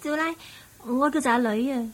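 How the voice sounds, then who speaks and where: A meek, high-voiced teenage girl. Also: normal speed. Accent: Hong Kong Cantonese. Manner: meek, simple, hesitant. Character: innocent, obedient seventeen-year-old servant girl. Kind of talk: Cantonese radio drama acting.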